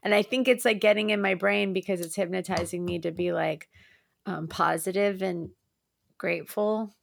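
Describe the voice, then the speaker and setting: A woman co-hosting a podcast with a raspy, hoarse voice, chatting casually and swearing freely. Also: on the low side, moderate pace. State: hoarse from acid reflux. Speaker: podcast co-host. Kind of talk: casual chat.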